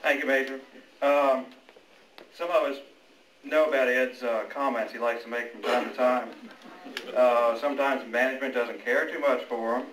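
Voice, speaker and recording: A man's voice: medium pitch, level tone, moderate pace, a crowd in the background. A man giving an informal, joking speech as a colleague at a retirement party. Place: indoor room at a retirement party. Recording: echoey room, distant mic, clear noise.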